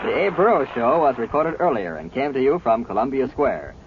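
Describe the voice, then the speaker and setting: A man's voice: medium pitch, expressive, a little fast, noisy background. 40s radio announcer man, formal read, voice moves up and down a lot, thin tinny old broadcast.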